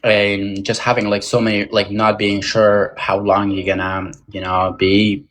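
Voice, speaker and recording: A young man with a somewhat low voice talks in a halting, casual way. He restarts often and leans on fillers as a podcast guest. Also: flat delivery, fairly bright tone, slight background noise. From Tajik American, born in Moscow. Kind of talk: casual interview talk.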